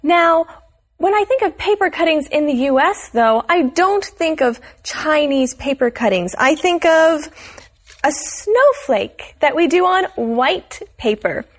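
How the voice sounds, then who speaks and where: Woman, lesson host, high voice, clear measured delivery. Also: big pitch swings. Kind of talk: scripted lesson dialogue.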